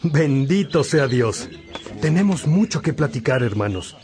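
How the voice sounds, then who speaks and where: A man's voice: a little high, big pitch swings, measured pace, darkish tone, soft background music. A man acting as a welcoming, devout religious leader in a radio drama.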